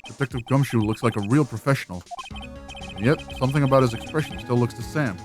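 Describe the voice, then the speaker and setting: A woman's voice: a bass voice, quick pace, a little mellow, soft background music. A woman voicing a young male attorney in a video game, dry, earnest and inquisitive. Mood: pensive narration. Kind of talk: video game dialogue and inner monologue.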